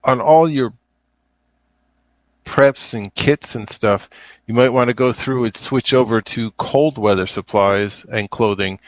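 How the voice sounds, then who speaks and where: A man's voice: medium pitch, unhurried, no room sound. A man talking informally on a podcast in casual, laid-back conversation. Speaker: podcast co-host or guest.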